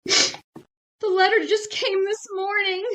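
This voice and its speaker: Very high voice, slow delivery, woman playing scheming naive housewife, theatrical, old-time radio comedy. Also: little pitch movement, pushed and bright, exaggerated voice, rough recording. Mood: tearful, melodramatic. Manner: theatrical, wailing when upset.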